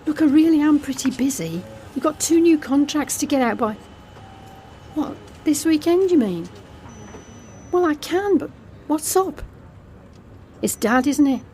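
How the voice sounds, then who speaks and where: Jaded snobby british girl in her own head, audio drama, soft mellow voice, dry muttered asides, bit of noise behind. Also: high voice, expressive, unhurried, long pauses. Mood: strained, brisk. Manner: confessional, sardonic, muttering asides to herself. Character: jaded, snobbish, secretive young woman confiding her private thoughts. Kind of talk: intimate audio drama monologue.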